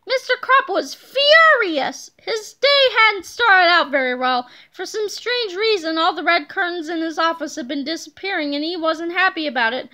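A woman reads a children's book aloud as a narrator. Her voice is strikingly high and bright, captured at home on a handheld device. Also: expressive, normal speed, few pauses. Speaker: storybook reader.

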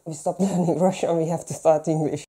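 A woman's voice: sits low, steady tone, fairly quick, dark tone. A middle-aged woman from Hungary with a Hungarian accent, telling anecdotes as a documentary interviewee.

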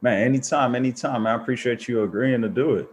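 A man's voice: medium pitch, quick pace, husky. A man hosting a motivational podcast, speaking earnestly and thanking his listeners. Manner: earnest, grateful, encouraging. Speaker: podcast host.

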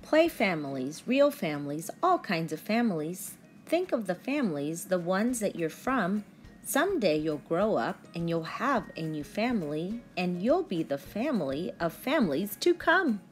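A woman's voice: on the low side, sing-song, moderate pace, soft background music. A woman in her thirties, a teacher reading aloud and talking to young pupils in simple, instructive phrasing. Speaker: early-grade teacher. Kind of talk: children's read-aloud and lesson talk to pupils.

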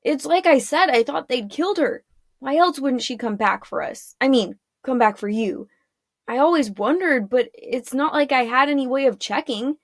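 A woman's voice: high-pitched, normal speed, dry recording, studio quality. A woman voicing a cheeky orphan boy in a storybook, earnest and defensive with a teasing bravado. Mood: explaining.

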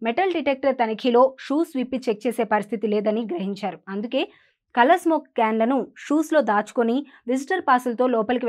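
A woman, reading scripted news as an anchor in a formal, projected delivery. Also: on the high side.

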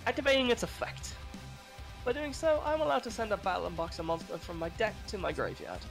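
A man's voice: high-pitched, animated, a little fast, gravelly, over music. A young man talking casually in character as he announces his moves in a card game roleplay. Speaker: opposing player in a card duel roleplay. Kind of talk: casual in-character gameplay talk.

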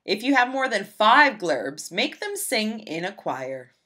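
Animated, bright-voiced woman reading aloud. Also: mid-range voice, measured pace. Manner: animated, emphatic, storybook delivery. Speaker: librarian reading a picture book aloud. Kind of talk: expressive children's read-aloud narration.

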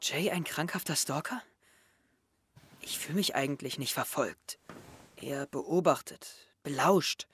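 A man's voice: fairly high pitch, a little slow, pauses often, a bit bright, slight background noise. A young man playing an anxious, brooding teenage boy in an introspective, tense audio drama monologue. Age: young adult. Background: music.

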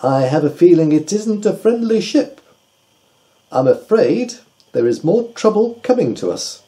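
A man voicing a sensible, bossy female duck in a storybook, brisk and matter-of-fact. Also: sits high, big pitch swings, dark tone, voice pushed up.

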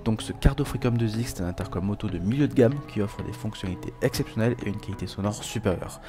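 A man presenting a scripted product review as a voice-over, reading aloud with small stumbles and self-corrections. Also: fairly low pitch. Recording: voice-over with music behind. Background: background music.

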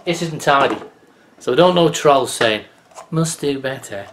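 A man's voice: mid-range voice, expressive, measured pace, a bit bright, a little hiss or hum. A man in his fifties, hosting a casual bushcraft tip from his home office webcam, chatty and folksy, muttering as he carves. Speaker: bushcraft channel host. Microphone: webcam on desk.

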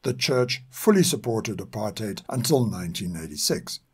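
An older man with a South African accent reads scripted narration in a measured, formal manner. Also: fairly low pitch, very animated, husky. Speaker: podcast narrator.

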